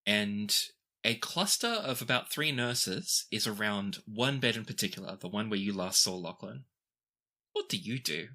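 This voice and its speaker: A man's voice: on the low side, lively intonation, normal speed, bright, forceful tone. A man running a tabletop role-playing game, narrating scenes to the players as game master in the second person.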